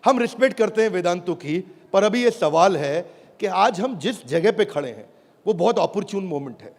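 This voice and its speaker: A man giving an energetic motivational speech to a live audience, shouting at times and calling on the crowd. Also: sits high. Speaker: business speaker at a product launch. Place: large hall with an audience. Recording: echoey hall, crowd and noise behind.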